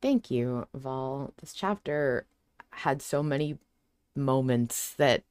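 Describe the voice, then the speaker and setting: A woman's voice: extremely low pitch, expressive, takes it slowly, a little mellow, dry recording, clean studio sound. A woman hosting a podcast in a casual, enthusiastic conversational style. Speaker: podcast host. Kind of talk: casual podcast discussion with an introduction.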